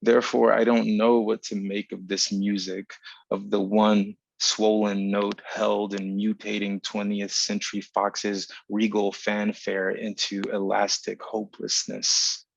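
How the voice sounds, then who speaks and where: A man's voice: on the low side, completely flat delivery, a little slow, creaky, no echo, highs cut off. A man from South Carolina reading his own literary essay aloud as a poet on an online call. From South Carolina, United States.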